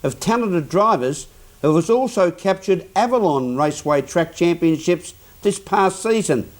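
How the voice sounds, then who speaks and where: A man's voice: high-pitched, animated, unhurried. Polished Australian male newsreader. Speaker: news presenter. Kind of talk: read news introductions.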